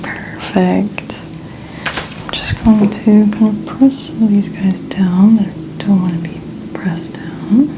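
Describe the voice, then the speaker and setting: A woman speaking softly as an ASMR host, often close to a whisper, with gentle, soothing, unhurried instructions. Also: medium pitch, steady tone, poor audio. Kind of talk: soft-spoken ASMR, often near whisper.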